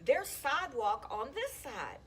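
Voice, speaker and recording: A woman's voice: high voice, sing-song, measured pace, a bit bright, a little hiss or hum, pitched above the performer's own voice, exaggerated voice. A woman voicing a confident, persuasive young girl who explains insistently, in a children's storybook.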